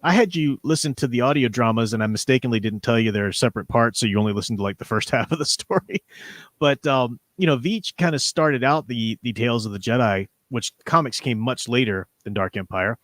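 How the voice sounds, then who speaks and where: A man's voice: medium pitch, a little fast, fairly bright tone, no echo. A man co-hosting a casual livestream discussion, explaining things in a rambling, conversational way. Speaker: livestream co-host. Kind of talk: casual book and comics discussion.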